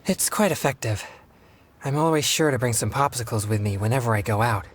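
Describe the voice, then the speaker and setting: An actor playing an earnest, polite, easily flustered teenage exorcist boy in a video game, speaking in a formal, measured way. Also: medium pitch, slight background noise, close to an everyday voice. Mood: calmer. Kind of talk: video game character dialogue. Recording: music behind the voice. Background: game music.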